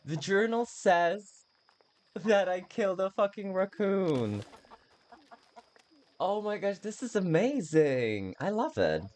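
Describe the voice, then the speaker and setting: A man giving casual game playthrough commentary in a halting, self-deprecating way, swearing freely. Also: high voice, very animated, a little mellow. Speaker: game streamer.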